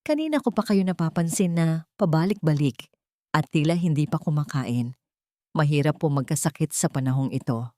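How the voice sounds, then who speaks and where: Woman doing a gentle young janitor. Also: sits low, lively intonation, normal speed, dry recording, clean studio sound. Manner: soft, gentle, polite.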